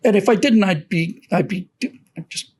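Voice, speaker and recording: A middle-aged man on a casual video call, husky-voiced, halting and earnest, often repeating words. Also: high voice, darkish tone. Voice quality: husky, thin voice. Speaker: co-host. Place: home office.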